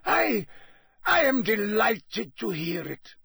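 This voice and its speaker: A man's voice: high voice, big pitch swings, creaky, pushed and bright, husky, dry recording, studio quality, pitched above the performer's own voice, exaggerated voice. A man in a storybook reading, voicing an unctuous, panicky prisoner who pleads breathlessly and stammers. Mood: panicked. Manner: breathless, hurried, stammering, ingratiating.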